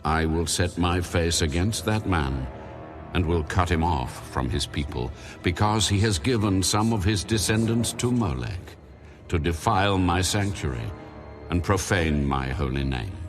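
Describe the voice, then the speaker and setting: An almighty male deity in a scripture audio drama, speaking in a very low voice. He is solemn and commanding, declaring each law and judgment as final. Music plays clearly behind him. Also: lively intonation, measured pace. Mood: stern, judging.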